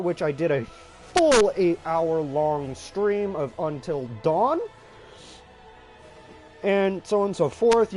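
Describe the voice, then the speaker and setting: Male creator, on the higher side, casual and chatty viewer address over music. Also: darkish tone. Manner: informal, chatty, mild swearing. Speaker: video creator and host. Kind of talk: casual video intro to viewers.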